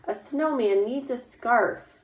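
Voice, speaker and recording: A woman's voice: fairly high pitch, slow, roomy sound. A woman voicing small, friendly woodland animals in a storybook, eagerly announcing their helpful gifts.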